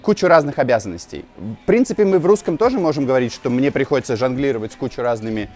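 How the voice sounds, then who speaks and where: A man in his thirties, a native Russian speaker, giving an informal English lesson to camera outdoors and slowly repeating key phrases. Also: medium pitch, animated, darkish tone, soft background music. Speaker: English teacher, video host. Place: outdoors on a narrow old-town street. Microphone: lapel mic.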